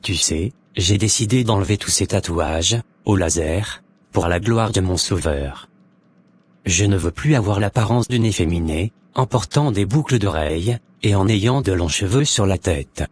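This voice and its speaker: Man acting low-voiced solemn devout convert, noisy recording. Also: animated, measured pace. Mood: earnest.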